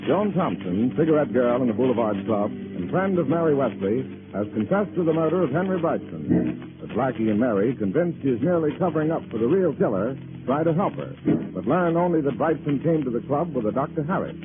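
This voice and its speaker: Music plays behind a man as an old-time radio narrator. His mid-pitched voice is formal and declarative, recapping in earnest, with the thin sound of a vintage broadcast. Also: very animated, brisk, phone-quality sound, close to an everyday voice. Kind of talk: 1940s radio scripted narration.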